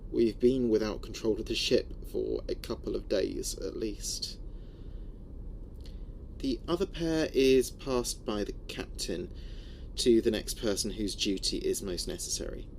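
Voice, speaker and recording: Man playing a slow, grave, haunted spaceship crewman. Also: on the low side, a little mellow, a little hiss or hum. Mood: weary, anxious. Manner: grave, halting, broken phrasing with dramatic pauses. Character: weary, frightened male spaceship crewman recording a log. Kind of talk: audio drama first-person log recording.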